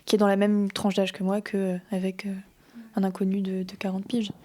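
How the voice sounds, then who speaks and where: A woman in her twenties shares her views in a casual table chat, hedging as she goes and filling pauses often. Her voice is mid-pitched with a mellow, soft tone. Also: completely flat delivery, slight background noise. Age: 20s. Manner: thoughtful, hedging talk with many fillers.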